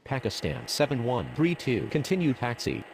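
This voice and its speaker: A man's voice: mid-range voice, lively intonation, moderate pace, vocal fry, a little hiss or hum. A man playing a businesslike airport radio operator in a simulator game, speaking clipped, formal, scripted phraseology. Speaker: air traffic radio voice.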